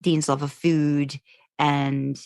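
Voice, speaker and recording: A woman's voice: low-pitched, level tone, extremely slow, darkish tone, no echo, studio quality. A woman co-hosting a podcast in casual chat, talking in loose, trailing thoughts. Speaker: podcast co-host. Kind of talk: casual chat about fan fiction.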